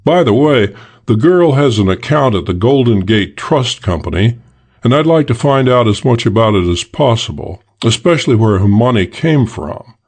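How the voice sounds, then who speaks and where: A man playing a careful, matter-of-fact private detective with a measured manner in an audiobook. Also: mid-range voice, expressive, darkish tone, breathy edge. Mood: businesslike. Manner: businesslike, measured. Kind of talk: audiobook character acting.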